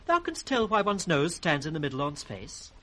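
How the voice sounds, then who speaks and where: An actor playing an impish, riddling British court jester in a 1960s radio Shakespeare drama. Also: male voice, a little high, wildly swooping pitch, normal speed, fairly bright tone, a little hiss or hum. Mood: teasing. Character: impish, riddling court jester, male, teasing his master.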